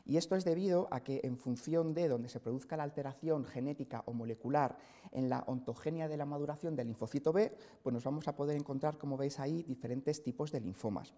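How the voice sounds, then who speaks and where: A middle-aged man from central Spain giving a measured scientific lecture to colleagues in a hospital auditorium, pausing often on "eh". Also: a little high, warm tone. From Spain, Castilla-La Mancha. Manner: measured, explanatory, frequent "eh" fillers. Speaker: hematologist lecturer. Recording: long hall reverb from a lecture hall.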